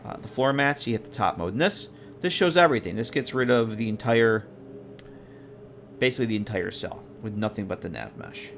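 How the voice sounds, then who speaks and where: A man's voice: fairly low pitch, big pitch swings, a little fast, vocal fry, a bit bright, slight background noise. Tired, congested man thinking aloud. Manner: rambling, thinking aloud, self-correcting. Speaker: modding streamer. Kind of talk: casual live-stream commentary while working.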